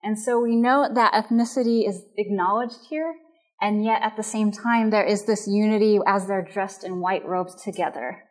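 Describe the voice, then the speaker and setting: Earnest woman teaching biblical counseling. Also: medium pitch. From Japanese and American background. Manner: earnest, measured, explanatory. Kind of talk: recorded lecture.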